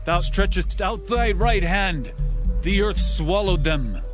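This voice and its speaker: An actor playing a reverent, exultant Hebrew leader, declaiming praise in drawn-out phrases in a dramatized scripture reading. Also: male voice, high voice, lively intonation. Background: prominent music.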